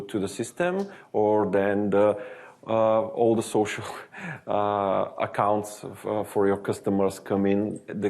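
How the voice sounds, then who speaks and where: A man with an Eastern European accent presents a technical webinar in a fairly low voice. He speaks haltingly, trailing off and correcting himself as he hunts for words, with the steady, explanatory manner of a software development manager. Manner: halting, searching for words, frequent self-corrections.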